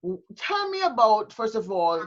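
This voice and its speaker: A woman's voice: fairly high pitch, very animated, normal speed. A woman hosting an interview, asking thoughtful and probing questions. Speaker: host. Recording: dull, lo-fi sound.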